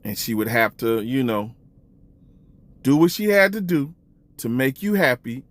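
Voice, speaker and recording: A man's voice: mid-range voice, sing-song, a little slow, no echo. A man giving mocking online commentary, drawing out accusations and teasing rhetorical questions. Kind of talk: mocking commentary, addressing the camera.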